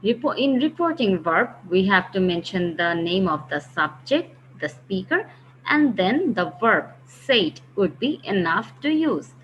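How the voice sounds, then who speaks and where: A woman teaching a grammar lesson, patiently explaining step by step and slowing down to read example sentences. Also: mid-range voice, big pitch swings, dull audio, a little hiss or hum. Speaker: English teacher.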